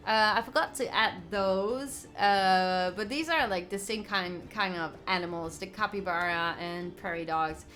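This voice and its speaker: A young woman from the Netherlands with a Dutch accent, chatting excitedly and giggling on a gaming live stream as she reads out viewers' comments. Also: mid-range voice, a little slow, hardly any pauses. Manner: excitable, giggly, reading chat aloud. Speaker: gaming livestreamer. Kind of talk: casual live stream chat.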